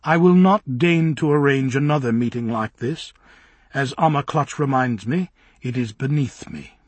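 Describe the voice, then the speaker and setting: A man's voice: medium pitch, normal speed, breathy edge, no echo, close to an everyday voice. A man voicing a prim, affected young society woman who speaks in genteel phrasing.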